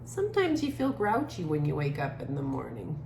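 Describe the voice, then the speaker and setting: A woman giving a casual art lesson for children, musing gently aloud as she draws. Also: low-pitched, wildly swooping pitch. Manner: gentle, musing, talks while drawing with trailing pauses. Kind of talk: casual creative drawing lesson, thinking aloud. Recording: echoey room with clear background noise.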